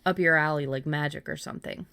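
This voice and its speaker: A woman's voice: deep voice, steady tone, fast talker, sharp tone, clear, resonant tone, no room sound. A woman hosting a podcast in casual chat, informal and given to acting out imagined remarks. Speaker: podcast host.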